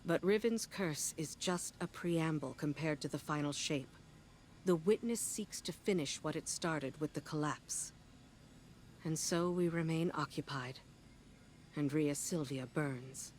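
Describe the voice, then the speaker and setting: An actress playing a solemn, weary woman officer burdened by guilt, speaking in a measured, earnest way in a video game. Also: low voice, slight background noise. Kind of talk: dramatic video game voice acting.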